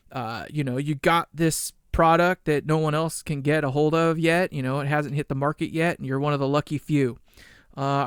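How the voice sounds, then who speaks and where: High-voiced guy ranting blunt into a close mic, smooth clear tone, dry sound. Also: no echo. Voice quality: high-pitched voice. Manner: blunt, ranting, casual swearing. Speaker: gear channel vlogger. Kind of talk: opinion monologue vlog.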